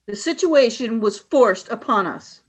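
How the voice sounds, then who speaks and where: A woman's voice: on the high side, lively intonation, unhurried, breathy edge. A woman voicing a cold, suspicious alien woman leader who speaks in clipped lines in a radio play. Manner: clipped, curt questions and statements. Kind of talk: amateur radio play acting.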